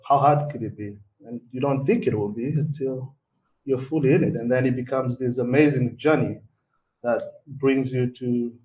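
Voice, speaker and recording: A man from Kenya, a guest entrepreneur, telling his story to a live audience in a relaxed way with fillers and repeated words. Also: mid-range voice, highs cut off. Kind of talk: personal story at a live talk. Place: event hall before an audience. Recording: distant mic with faint background noise.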